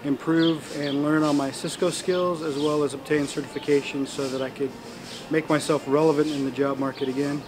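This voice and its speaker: Even-voiced man from Portland, Oregon. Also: on the high side, brisk, noisy background. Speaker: IT professional in training. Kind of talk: testimonial interview.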